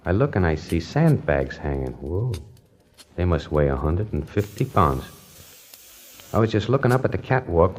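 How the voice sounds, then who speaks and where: A man's voice: deep voice, a little mellow. An actor playing a brash, jumpy small-time hustler who narrates his own story in wry, colloquial 1940s radio suspense style. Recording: vintage radio broadcast with music.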